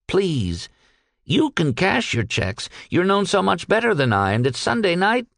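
A man acts out a weary but determined errand man from a storybook. He speaks earnestly and respectfully, pressing his point with polite insistence. The pitch moves in an animated way. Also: moderate pace, no room sound, clean studio sound. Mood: earnest pleading. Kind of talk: audiobook character dialogue.

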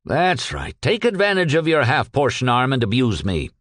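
Man voicing gruff, indignant railway brakeman. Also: medium pitch, big pitch swings, a little fast, no echo, clean studio sound, close to an everyday voice. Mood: angry, bitter. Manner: angry, grudging. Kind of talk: audiobook character dialogue.

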